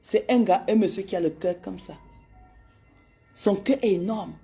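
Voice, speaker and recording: A woman's voice: medium pitch, moderate pace, vocal fry, mellow, faint music behind. A woman in her forties speaking straight to her followers, pleading with passion and repeating her points for emphasis. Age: 40s. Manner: impassioned and pleading, repeats phrases. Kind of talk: direct address to followers on social media.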